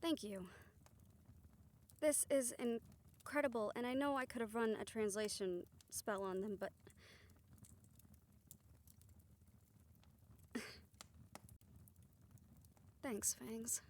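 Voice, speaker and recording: A woman's voice: a little high, fairly even intonation, slow pace, pauses often. A woman acting a good-natured young spellcaster in an audio roleplay, talking in a casual, folksy, wry way. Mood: earnest.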